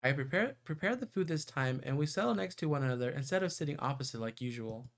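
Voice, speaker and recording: A man's voice: medium pitch, animated, fast talker. A man streaming a visual novel, reading its narration aloud and reacting live. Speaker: game streamer. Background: game music.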